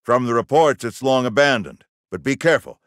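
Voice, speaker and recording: A man's voice: mid-range voice, expressive, a little fast, no room sound, studio quality, close to an everyday voice. A man voicing a stern middle-aged army general in a video game, giving orders and briefings in an authoritative, clipped military manner. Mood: grave, firm.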